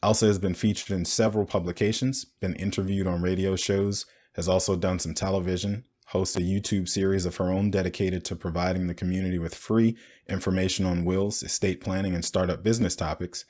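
A man with a very low voice hosts a finance podcast. He opens with a steady, explanatory read and then moves into easy conversation with his guest. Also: fairly quick, few pauses. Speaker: podcast host. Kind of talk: scripted intro then conversational interview.

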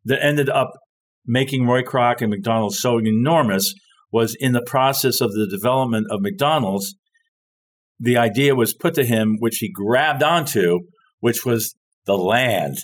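Man, conversational storyteller, rambling, word-searching, mid-pitched voice. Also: unhurried. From born in France, grew up overseas. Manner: rambling, searches for words, trailing restarts. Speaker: guest telling stories.

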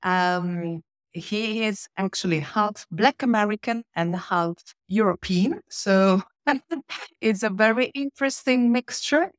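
A woman's voice: mid-range voice, no room sound. Woman speaking in a dry, close sound. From Switzerland and southern Italy. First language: Italian. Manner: explanatory, steady, frequent pauses with "uh". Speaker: guest, change and communication manager. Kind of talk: podcast interview.

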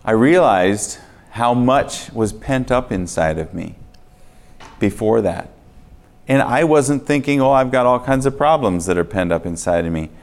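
A man preaching in a church, earnest and swinging between quiet passages and emphatic ones. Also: mid-range voice, animated, a little slow. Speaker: pastor. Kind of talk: sermon. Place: church sanctuary.

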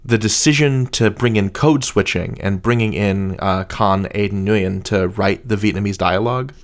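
A man with a fairly low voice works as the interviewer. His style is conversational, as he puts questions and remarks to guests. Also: normal speed. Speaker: interviewer, host.

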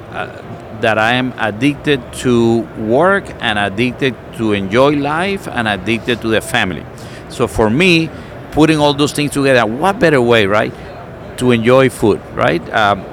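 A man's voice: medium pitch, slight background noise. A middle-aged man from Cuba with a Cuban Spanish accent, chatting casually and searching for words with many fillers. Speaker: cigar maker. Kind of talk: casual chat.

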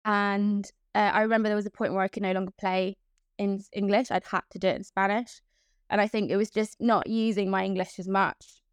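Young woman, even and level delivery, dry close sound; chatty interview guest. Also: medium pitch, fairly quick, smooth, no echo. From Hong Kong. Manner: chatty, with frequent fillers and restarts. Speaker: guest, events professional. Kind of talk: casual interview.

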